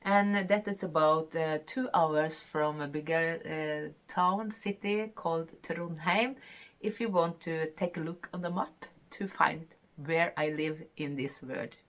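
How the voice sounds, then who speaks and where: Norwegian lady in her 50s, slow halting chat, kinda low voice, lots of uhs. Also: fairly even intonation, a bit bright. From Norway. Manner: hesitant, many fillers, laughs between phrases. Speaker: podcast host. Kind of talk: casual chat.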